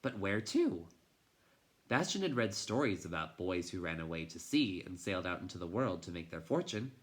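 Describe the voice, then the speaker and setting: A man reading a children's novel aloud in an expressive, dramatic way, moving between hushed and intense delivery. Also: low voice, normal speed. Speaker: teacher reading a storybook aloud.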